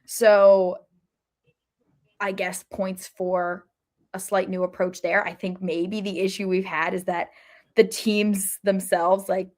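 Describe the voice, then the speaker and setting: A woman's voice: mid-range voice, level tone, slow pace, a little mellow. A woman co-hosting a soccer podcast in a casual sports chat, hedging and thinking aloud as she goes.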